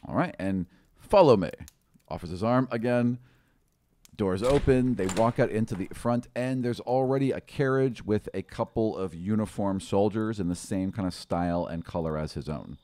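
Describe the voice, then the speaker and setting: A man improvising tabletop game narration as game master, in an animated, conversational storytelling manner. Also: lowish voice, normal speed.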